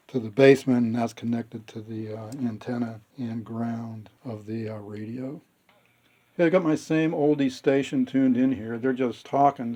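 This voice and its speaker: A man explaining a hands-on technical repair in a methodical, matter-of-fact way, with a slightly husky, gravelly voice. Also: mid-range voice, dark tone. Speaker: hobbyist presenter demonstrating radio repair.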